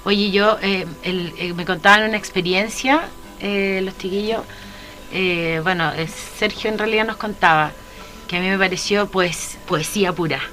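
A woman's voice: medium pitch, normal speed, pushed and bright, faint music behind. Chatty Chilean woman radio host. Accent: Chilean Spanish. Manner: hesitant, many fillers and restarts. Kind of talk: casual interview chat.

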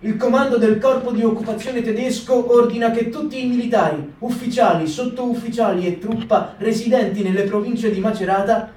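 High-voiced man reading a diary. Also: a little fast, few pauses, a little mellow, reverberant, distant mic, a little hiss or hum. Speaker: reader.

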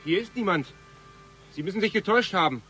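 An actor playing a dutiful, naive young police sergeant, formal and wary, in a radio play. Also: male voice, high-pitched, lively intonation, brisk, bright, forceful tone. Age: young adult. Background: music.

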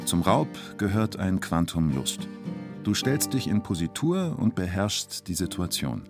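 An actor as the narrator of a radio play about a robber, speaking in terse, deliberate, clipped phrases. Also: male voice, low-pitched, big pitch swings, creaky, over music, full character voice. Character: male narrator telling a bank robber's story tersely. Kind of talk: radio play narration, short present-tense sentences.

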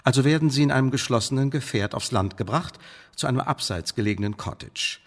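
Stern spymaster, echoing hall. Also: male voice, mid-range voice, animated. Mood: stern briefing. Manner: formal, measured, warning. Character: stern, cautious British spymaster briefing a new agent. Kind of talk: German radio play acting. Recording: reverberant hall-like room.

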